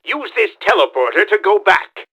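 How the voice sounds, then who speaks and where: A man playing a pompous middle-aged mad scientist villain in a video game, theatrical and exclamatory, with a gravelly, forceful voice. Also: sits high, big pitch swings, moderate pace, slight background noise, exaggerated voice. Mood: commanding. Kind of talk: video game tutorial voice lines.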